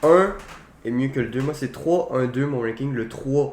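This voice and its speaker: A man's voice: medium pitch, moderate pace, darkish tone. A young man with a Quebec French accent chatting casually about films, colloquial, with some English words dropped in. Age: young adult. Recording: roomy echo, faint background noise.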